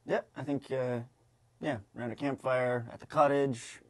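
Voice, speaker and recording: A man, hosting a casual tasting in a halting, wry way. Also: mid-range voice, fairly even intonation, no echo. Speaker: host of a beer tasting show. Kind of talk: casual unscripted tasting commentary. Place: kitchen studio, indoors.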